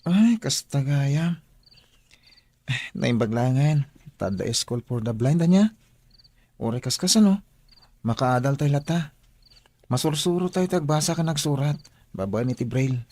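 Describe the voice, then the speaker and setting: An actor playing a young man newly arrived at a school for the blind in a radio drama, with a husky voice. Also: medium pitch, lively intonation, a little slow, long pauses, dark tone, dry recording. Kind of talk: Ilocano radio drama acting.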